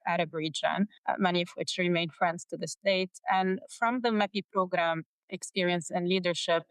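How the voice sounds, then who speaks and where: Lebanese woman in her 30s being interviewed, really clear smooth voice, dry sound, earnest. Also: on the low side, no room sound, low-quality recording. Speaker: guest, nonprofit education executive. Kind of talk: interview answer recounting career.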